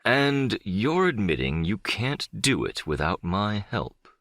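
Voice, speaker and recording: A man's voice: on the low side, sing-song, takes it slowly, a bit bright, no echo, studio quality, full character voice. A man playing a powerful, middle-aged crime boss in an audiobook drama, controlled, condescending and smugly self-assured. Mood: dismissive. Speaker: audiobook voice actor.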